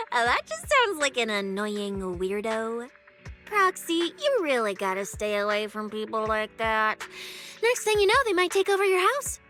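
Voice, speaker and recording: A woman playing a playful, bossy, easily flustered young police specialist in an anime-style video game, with an expressive, teasing, mock-official delivery. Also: on the high side, unhurried, faint music behind, heavily acted. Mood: scolding, worried.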